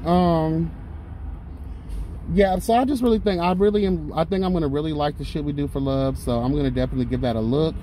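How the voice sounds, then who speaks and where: A man's voice: fairly high pitch, a little fast, mellow, rough recording. Sassy chatty man filmed in a car. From Florida. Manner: sassy, chatty, peppered with "girl" and "honey". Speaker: vlogger. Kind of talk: casual chat while driving. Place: inside a car, driving. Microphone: phone mounted on the dashboard. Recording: car phone recording with road noise. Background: car and traffic noise.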